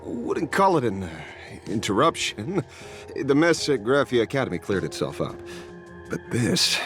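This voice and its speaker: A man's voice: mid-range voice, sing-song, moderate pace, a bit bright. A man playing a world-weary, bitter detective in a video game, brooding and terse. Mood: guarded. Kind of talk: dramatic video game acting. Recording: background music.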